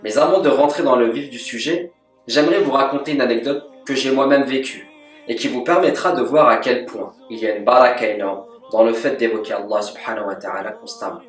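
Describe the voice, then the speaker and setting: A man teaches in a calm, measured voice, reading a scripted lesson with Arabic devotional phrases woven through it. His voice sits at an ordinary pitch and sounds a little distant from the mic. The room is echoey, with faint music playing underneath. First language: French. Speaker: religious teacher.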